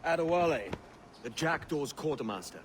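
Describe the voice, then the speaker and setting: An actor playing an ambitious young pirate captain in a video game, cheeky, confident and easygoing. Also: male voice, a little high, lively intonation, normal speed, noisy background, natural voice. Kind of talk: video game cutscene acting.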